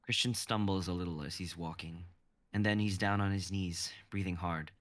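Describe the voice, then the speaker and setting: A young man in his twenties reading stage directions as narrator of a live script reading, in an even manner. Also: deep voice, normal speed. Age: 20s.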